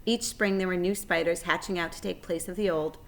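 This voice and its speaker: A woman narrating a children's story aloud in a measured, expressive way. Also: lowish voice.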